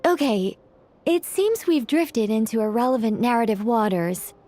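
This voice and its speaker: A woman's voice: fairly high pitch, animated, normal speed, mellow, faint music behind, natural voice. An actress playing an eccentric, absent-minded female mage in a video game, explaining at length in a scholarly, wordy manner. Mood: flustered. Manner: long-winded explaining, flustered when interrupted.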